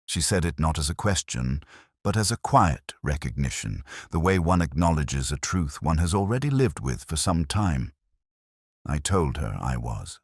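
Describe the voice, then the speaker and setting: Male narrator, extremely low pitch, dry close sound; measured, reflective detective storytelling. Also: dry recording. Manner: measured, reflective literary delivery. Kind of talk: scripted first-person detective story narration.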